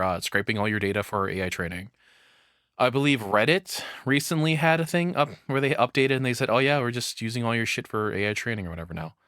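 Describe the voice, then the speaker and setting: A man's voice: lowish voice, sharp tone, no echo, studio quality. A man doing casual live reaction commentary in a rambling, frank, crudely humorous way. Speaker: reaction streamer.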